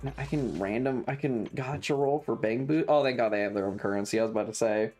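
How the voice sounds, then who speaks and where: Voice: medium pitch, fast, darkish tone, soft background music. A streamer chatting casually while gaming, with foul-mouthed slang and playful exclamations. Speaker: variety streamer. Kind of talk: casual gaming livestream chat.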